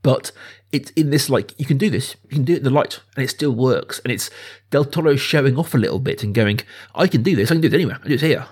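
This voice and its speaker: A man's voice: medium pitch, fast, a little mellow, husky. A man in his thirties hosting a casual film discussion, speaking enthusiastically and emphatically, building points through repetition. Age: 30s. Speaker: film podcast host.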